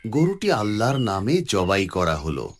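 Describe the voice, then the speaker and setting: Man playing a deep, expressive old storytelling grandfather. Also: a little slow, exaggerated voice. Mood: suspenseful storytelling. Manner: expressive, instructive. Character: kindly old grandfather telling moral Quran stories. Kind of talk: cartoon storytelling for children.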